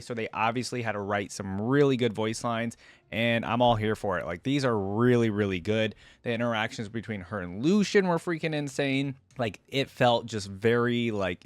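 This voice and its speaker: A man's voice: medium pitch, no room sound. A man giving casual, enthusiastic reaction commentary as a video host, speaking informally with lots of "like". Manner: informal, enthusiastic, heavy use of "like".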